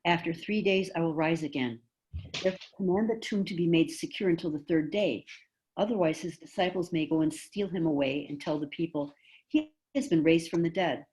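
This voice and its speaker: A woman's voice: low voice, brisk, darkish tone, close to an everyday voice, low-quality recording. A woman reading the parts of crowd members and priests in a dramatic scripture reading. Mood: wary, formal. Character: mocking crowd, bystanders and priests of biblical Jerusalem. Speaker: volunteer reader in a church Passion reading.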